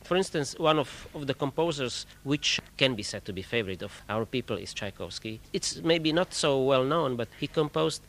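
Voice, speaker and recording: Choir director guy, careful formal answers, mid pitch. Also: animated, normal speed, hardly any pauses, fairly bright tone, slight background noise. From Czech Republic. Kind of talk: interview answers.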